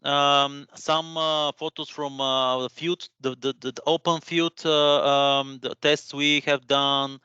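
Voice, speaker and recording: A man's voice: on the high side, little pitch movement, slow pace, hardly any pauses, pushed and bright, smooth, no echo, dull audio. A man in his thirties who speaks English as a second language, presenting informally and encouragingly, often searching for words and repeating himself. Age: 30s. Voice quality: non-native English speaker. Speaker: guest presenter at a career webinar.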